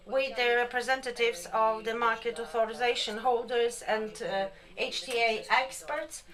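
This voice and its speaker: Higher-pitched woman presenting formally. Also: pushed and bright. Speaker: conference speaker. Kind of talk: formal conference presentation on health policy procedures.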